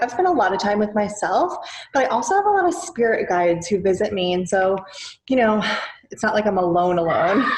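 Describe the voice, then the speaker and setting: A woman pitching herself in a comic dating video, dreamy and giggly with mystical rambling. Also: roomy sound, a little hiss or hum, low-quality recording. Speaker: dating contestant.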